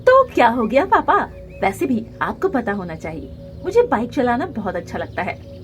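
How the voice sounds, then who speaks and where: Woman voicing a defiant high-pitched young cartoon bird. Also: sing-song, moderate pace, faint music behind. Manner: assertive, argues back. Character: defiant young female bird who dreams of racing bikes.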